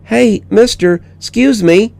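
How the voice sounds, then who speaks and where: A man's voice: high-pitched, slow, vocal fry, darkish tone, pitched above the performer's own voice, full character voice. A man voicing a mischievous, curious six-year-old girl in a horror story reading, with a pert, inquisitive, childlike manner. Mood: cheeky.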